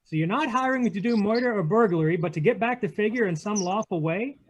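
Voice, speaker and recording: Radio noir lead, male actor: sardonic, impatient gumshoe, high-pitched voice, clipped tough-guy lines. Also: animated, quick pace. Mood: wry, tough. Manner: terse, sardonic, hard-boiled. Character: tough, wry, impatient male private detective. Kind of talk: 1940s radio drama read-through with narration. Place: video call read-through.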